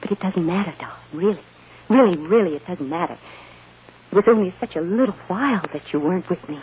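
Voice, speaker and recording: Actress as tender radio-drama mother, expressive, dull old recording. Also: lowish voice, quick pace, slight background noise, close to an everyday voice. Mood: earnest, reassuring. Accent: American. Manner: tender, reassuring, emotionally earnest. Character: loving mother gently soothing her young adopted daughter. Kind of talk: 1940s-50s American radio drama acting.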